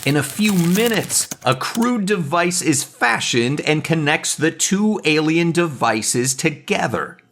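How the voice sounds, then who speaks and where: A man's voice: fairly high pitch, animated, takes it slowly, hardly any pauses, fairly bright tone, full character voice. A man narrating a superhero audio drama in an earnest, dramatic scripted storytelling style, with background noise.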